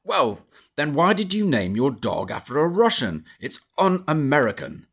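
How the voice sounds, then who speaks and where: A man's voice: on the high side, sing-song, normal speed, fairly bright tone, slightly rough, voice pushed up, heavily acted. A man voicing a pompous, blustering middle-aged army major who sneers and splutters, in an audiobook. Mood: indignant. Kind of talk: audiobook character voice.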